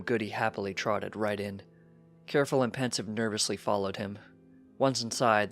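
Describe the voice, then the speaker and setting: A man reading a story aloud as a scripted narration. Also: on the low side. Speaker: fan fiction reader.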